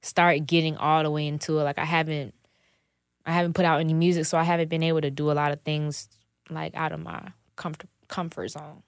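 A woman's voice: low voice, level tone, a little fast, clean tone, no echo. Woman, twenties, podcast guest, candid, chatty, swears, dry close sound. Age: 20s. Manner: candid and chatty, swears freely, laughs often. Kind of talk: casual interview chat.

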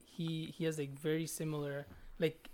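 A man's voice: fairly high pitch, level tone, moderate pace, darkish tone. A young man from Bangladesh hosting a casual podcast chat, loose and laid-back, swearing often and laughing easily. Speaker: podcast host.

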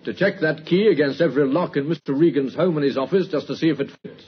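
An actor playing a dogged, methodical police inspector in a measured, authoritative old-time radio drama style. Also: male voice, fairly high pitch, background noise, close to an everyday voice. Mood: brisk, businesslike. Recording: muffled, husky old radio recording.

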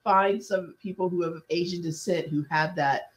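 Southern woman co-host, animated storyteller, casual podcast chat. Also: fairly low pitch, normal speed. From American South. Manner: animated storyteller, frequent affirmations.

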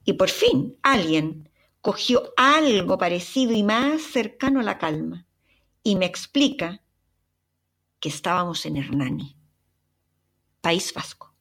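A young Chilean woman with a Chilean accent and a breathy voice, dramatically telling a personal travel story as a podcast narrator. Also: fairly low pitch. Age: young adult. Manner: expressive, dramatic retelling with sighs and exclamations.

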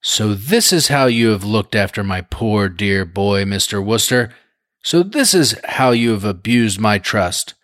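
A man's voice: medium pitch, animated, normal speed, dry recording, studio quality, voice pushed up, natural voice. A man reading aloud, voicing a massive, imperious older lady of the aristocracy who speaks in a frosty, clipped, accusing way. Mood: indignant.

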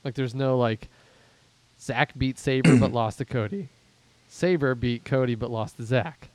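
A man from Pittsburgh hosting a casual fantasy football podcast, rambling and self-deprecating as he thinks out loud about the standings. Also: mid-range voice, unhurried, no room sound. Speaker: podcast host and league commissioner.

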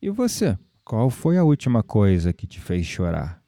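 An actor playing a gentle, earnest spiritual confidant with a Brazilian accent in an audio drama. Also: male voice, on the low side, wildly swooping pitch, moderate pace, no echo, studio quality. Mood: surprised, teasing.

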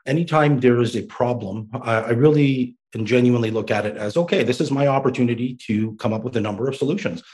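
A developmental service worker being interviewed, answering in a thoughtful, measured way with some repeated words. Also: male voice, mid-range voice, darkish tone. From Iraq, raised in Canada.

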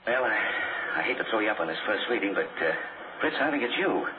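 An actor playing a tactful but dissatisfied director, halting and apologetic, in a 1940s radio drama. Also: male voice, fairly low pitch, expressive, close to an everyday voice. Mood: tactful dissatisfaction. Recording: tinny, noisy 1940s radio recording.

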